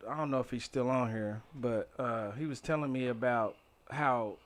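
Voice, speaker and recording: An American man with a medium-pitched voice takes part in a podcast as a panelist. His talk is casual and conversational, like chat among friends. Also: normal speed.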